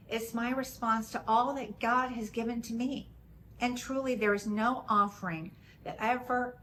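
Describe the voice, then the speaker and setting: A woman in her forties from Texas, with a slight Texan accent, giving a gentle, measured personal testimony as a church member. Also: fairly high pitch, a little hiss or hum, rough recording.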